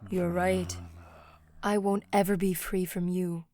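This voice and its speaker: A woman's voice: lowish voice, flat delivery, measured pace, warm tone, no echo, clean studio sound, close to an everyday voice. A woman playing a downcast teenage girl in an audio drama, speaking in a subdued, hesitant manner. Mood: resigned.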